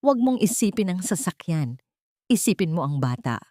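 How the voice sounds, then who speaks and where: A woman's voice: fairly low pitch, sing-song, moderate pace, dark tone, no room sound, studio quality. A woman voicing a fierce woman caretaker who gives cold, authoritative orders. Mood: commanding.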